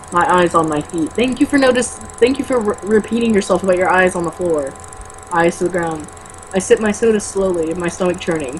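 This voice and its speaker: A woman's voice: fairly low pitch, a little mellow, slight background noise, rough recording. A young woman reading a book aloud casually, with sarcastic, exasperated, foul-mouthed commentary. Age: young adult.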